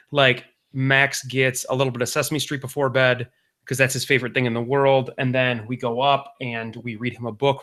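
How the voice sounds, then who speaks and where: A man in his thirties talks through a story on a casual podcast. His voice is bright and forceful. He wanders and jokes, with plenty of ums along the way. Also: mid-range voice. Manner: rambling, jokey storytelling with many fillers. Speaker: podcast co-host.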